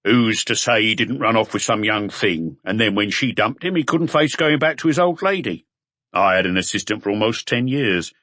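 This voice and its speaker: A male narrator plays a big, blunt butcher holding forth as a bar regular. He is opinionated, with an animated rise and fall. The audiobook sound is dry and close. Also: fairly low pitch, moderate pace, dry recording. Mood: scornful, knowing.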